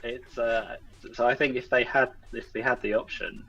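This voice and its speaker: A man's voice: mid-range voice, flat delivery, normal speed, pushed and bright, highs cut off. A man speaking as a guest game developer, chatting casually. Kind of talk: casual chat. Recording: distant mic with music underneath. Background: music.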